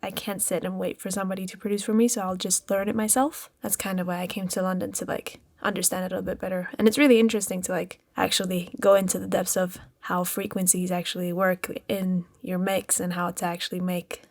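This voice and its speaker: A woman's voice: fairly low pitch, level tone, fast, hardly any pauses, darkish tone. A woman who grew up in Spain and now studies music production, answering interview questions over a remote call in a bubbly, giggly way. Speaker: music production student guest.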